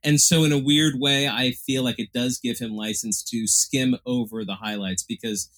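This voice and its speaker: A man's voice: mid-range voice, fairly even intonation, moderate pace, a bit bright. A man co-hosting a casual film review chat, thinking aloud in long, winding, thoughtful remarks. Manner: discursive, thoughtful, many fillers. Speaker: film critic co-host.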